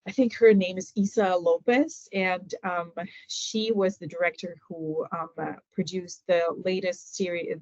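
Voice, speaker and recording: A middle-aged woman from Ukraine, a native Ukrainian speaker, talking casually as an interview guest and pausing often with fillers and restarts. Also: lowish voice, no room sound. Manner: hesitant, many fillers and restarts. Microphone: video call. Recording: dull lo-fi video call audio.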